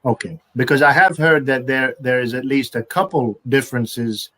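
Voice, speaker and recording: Male interviewer of mid pitch, agreeable and affirming, asks for clarity. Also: moderate pace, a bit bright. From half Iraqi, half American. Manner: attentive, frequently affirming, asks clarifying questions. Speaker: host. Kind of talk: interview questioning.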